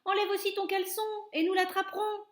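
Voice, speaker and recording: Woman doing a scornful jeering cook, shouting high, roomy echo. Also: level tone, fast talker. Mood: mocking. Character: mocking male cook jeering at a colleague.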